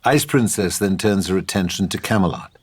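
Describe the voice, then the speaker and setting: A man's voice: low-pitched, fairly quick, breathy edge. A man reading scripted narration and stage directions as the narrator of an audio drama.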